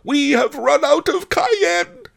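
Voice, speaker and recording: Storybook role: fat tavern wife, piercing falsetto, wailing and melodramatic. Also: male voice, expressive, slow, vocal fry, a bit bright, heavily acted. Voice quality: shrill falsetto. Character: shrill wailing fat wine-shop wife.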